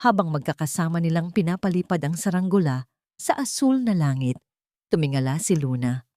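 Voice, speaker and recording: A woman narrates a scripted drama in a slightly low voice. She tells it in measured, expressive short phrases. The sound is dry, with very little room to it. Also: dry recording.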